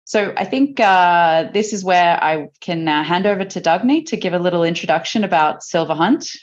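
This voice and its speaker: A woman's voice: on the low side, lively intonation, moderate pace. A woman with an Australian accent, hosting a live webinar in a friendly, chatty way with fillers. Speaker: webinar host. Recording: dull lo-fi video call audio.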